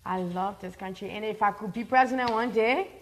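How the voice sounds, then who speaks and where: A woman's voice: medium pitch, fairly quick, a crowd in the background. A woman from Liberia, a guest in a casual chat, talking earnestly and repeating points for emphasis.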